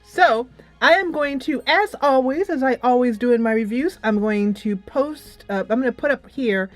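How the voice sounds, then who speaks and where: A woman's voice: sits high, moderate pace, no room sound. A woman hosting a casual game review, talking in a chatty, loosely structured, emphatic way. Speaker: game reviewer and channel host. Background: music.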